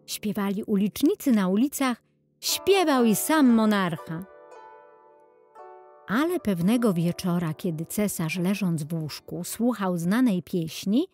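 Unhurried woman narrating a children's tale. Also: medium pitch, expressive, darkish tone, soft background music. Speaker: audiobook narrator. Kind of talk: scripted children's storybook narration.

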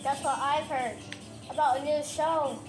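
A woman's voice: sits high, measured pace. A young woman playing a video game, chatting casually and jokingly with a friend. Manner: playful, jokey, bursts into shouts and laughs. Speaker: game player. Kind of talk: casual gameplay chat. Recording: echoey room, game music loud behind.

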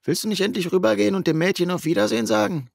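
Teenage boy played by a man, cheeky, teasing, casual, raised pitch, audiobook dialogue. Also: racing, gravelly, no room sound, studio quality. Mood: urging. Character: teenage boy, cheeky, goading best friend.

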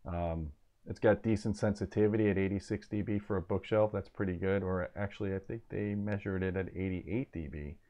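A man in his forties hosts an informal technical explainer. His voice sits somewhat low. He is plain-spoken and opinionated, stressing his points as he talks into a clip-on mic in a small home office. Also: steady tone, brisk, mellow, highs cut off. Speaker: host of an audio gear review channel.

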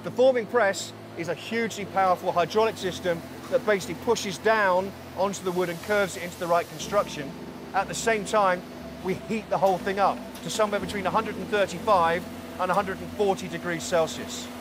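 A man in his forties leading a tour of a large factory floor, explaining each production step in a calm, clear way. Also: high-pitched, a little fast, background noise. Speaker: factory guide. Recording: music bed under voice.

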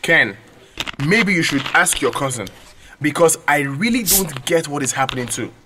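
Guy acting young nigerian student in a radio drama, earnest n stubborn, highish voice. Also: animated, normal speed, noisy background, close to an everyday voice. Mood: calm, defensive. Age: young adult.

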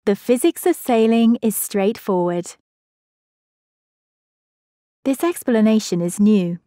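A woman with a mellow, soft-edged voice reads a scripted science explainer in short, even clauses. The sound is dry and close, with no room around it. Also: a little high, slow, no room sound. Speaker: narrator.

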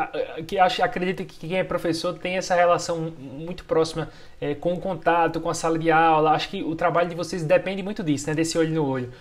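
Quick-talking young male interviewer. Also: fairly high pitch. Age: young adult. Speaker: interviewer, host. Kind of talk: interview questions over video call. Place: home room, indoors. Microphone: video call, laptop webcam.

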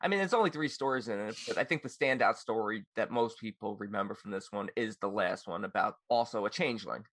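A man's voice: on the low side, fast talker, hardly any pauses, a bit bright, a little hiss or hum. A man chatting casually as a guest on a podcast.

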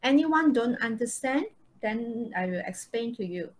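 High-voiced Malaysian woman teaching online. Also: lively intonation, measured pace. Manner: frequent checking questions, tag words like okay and right. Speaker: English teacher. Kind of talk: live online lesson, instructing students. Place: indoors, online video call.

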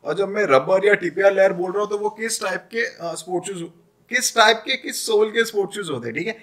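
A man's voice: high-pitched, brisk, a bit bright. A man in his thirties with an Indian accent giving casual, chatty advice in a vlog, explaining things and mixing in English phrases. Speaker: footwear dealer and vlogger. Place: small indoor room. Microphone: camera at arm's length.

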